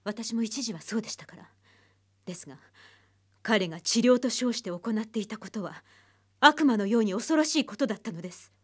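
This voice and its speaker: An actress playing a stern, composed psychiatrist, speaking formally and bluntly in measured phrases in an anime radio drama. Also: female voice, fairly high pitch, lively intonation, breathy edge. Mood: grave, firm.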